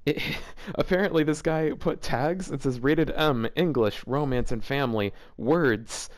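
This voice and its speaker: A man's voice: medium pitch, a little slow, no room sound. Casual man reading aloud, dry close sound. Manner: rambling, joking asides. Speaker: host reading fanfiction aloud for a video series. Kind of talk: casual commentary and amused read-aloud.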